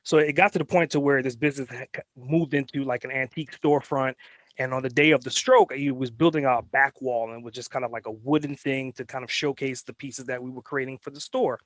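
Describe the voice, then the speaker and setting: A man born in Trinidad and Tobago and raised in New York tells his story as an interview guest. He rambles through anecdotes with plenty of fillers, at a middling pitch. The sound is dry and close. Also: steady tone, fairly quick, fairly bright tone, no room sound. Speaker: guest entrepreneur.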